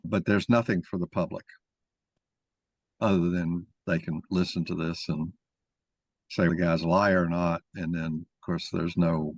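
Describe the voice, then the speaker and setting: A man arguing a point in informal discussion, halting and rephrasing as he goes as the moderator. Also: low voice, steady tone, husky, no echo. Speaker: moderator and main speaker of a mock-trial discussion.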